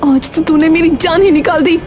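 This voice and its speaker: A woman's voice: very high voice, fairly even intonation, fairly quick, voice pushed up. A woman voicing an anxious, protective mother in a cartoon story, speaking in a fretful, emphatic and dramatic way. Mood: angry scolding. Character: anxious, scolding young mother, fiercely protective of her daughter. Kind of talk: animated cartoon story dialogue.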